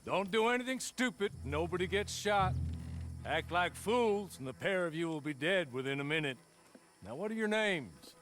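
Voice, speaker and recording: An actor playing a polite, composed Old West gentleman's agent with a quiet menace and a formal, measured way of talking in a western video game. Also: high voice, lively intonation.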